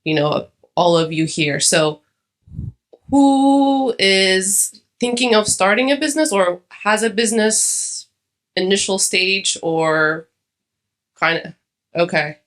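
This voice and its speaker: A woman's voice: mid-range voice, slow pace, a bit bright. A young adult woman from Ethiopia giving a conversational conference talk, with many fillers and restarts. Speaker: conference speaker. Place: conference hall.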